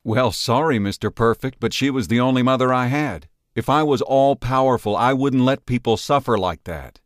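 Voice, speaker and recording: A man voicing a grieving American man, low, weary and resentful. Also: normal speed, no echo, studio quality, natural voice. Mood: bitter.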